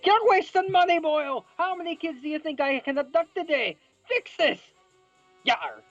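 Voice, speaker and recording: A man voicing a gruff old male crab restaurant boss who yells with pirate-like growls, on a dull lo-fi recording with faint music. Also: very high voice, animated, moderate pace, voice pushed up, exaggerated voice. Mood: yelling. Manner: bellowing, pirate-like "yar" growls.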